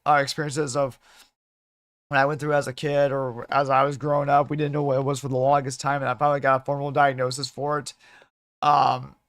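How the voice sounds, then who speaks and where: A man with a slightly hoarse voice chatting casually as a livestream host, in a halting way with repeated and restarted phrases. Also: mid-range voice, flat delivery, a bit bright, no room sound, clean studio sound.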